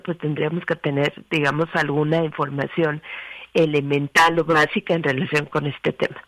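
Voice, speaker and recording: A retired woman judge from Mexico, a guest on a radio interview, explaining a legal point in a measured, teaching way with frequent hesitation fillers. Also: lowish voice, dry recording, rough recording.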